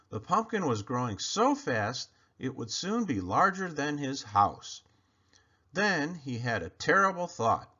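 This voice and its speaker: A man reading a children's storybook aloud in an expressive, emphatic storytelling manner. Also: a little high, slightly rough, lo-fi sound. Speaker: storybook reader.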